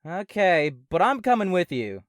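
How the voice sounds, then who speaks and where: Laid-back, protective robot sidekick for audio drama, woman performing; lowish pitch, very animated, casual delivery. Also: normal speed, no echo, studio quality. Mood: casual, insistent. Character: casual, protective robot best friend of the researcher.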